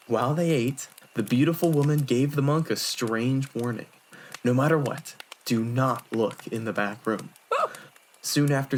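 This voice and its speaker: A man's voice: mid-range voice, slight background noise, natural voice. Man playing a smug, theatrical young monk. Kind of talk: fan audio drama acting, spooky campfire storytelling.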